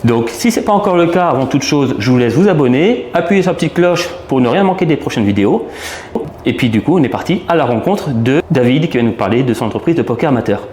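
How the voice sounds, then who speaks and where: A man's voice: medium pitch, lively intonation, quick pace, darkish tone. A man hosting a video channel who introduces the episode and interviews guests in a friendly, lightly teasing way. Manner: upbeat and friendly, casual teasing questions. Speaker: YouTube channel host and interviewer. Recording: roomy room with faint noise.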